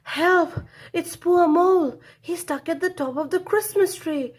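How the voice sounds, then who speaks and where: A woman's voice: very high-pitched, unhurried, voice pushed up. A woman voicing a panicked rabbit pleading urgently for help in a children's storybook. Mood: alarmed.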